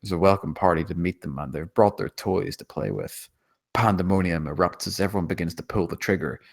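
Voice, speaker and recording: A man's voice: sits low, a little fast, vocal fry. A man reading scene descriptions as the narrator of a screenplay table read. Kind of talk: scripted narration of stage directions.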